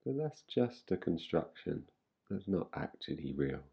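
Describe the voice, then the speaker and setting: A man with a low, husky voice leads a guided relaxation. He speaks gently and soothingly, leaving long pauses between his instructions. There is a creaky fry in his delivery, and he never hurries. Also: big pitch swings, lo-fi sound. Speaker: meditation guide. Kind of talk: guided relaxation meditation, yoga nidra.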